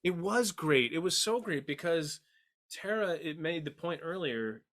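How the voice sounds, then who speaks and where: A man's voice: fairly high pitch, expressive, a little slow, fairly bright tone. Emphatic man chatting on a podcast. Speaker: podcast panelist. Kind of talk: casual discussion of a TV series.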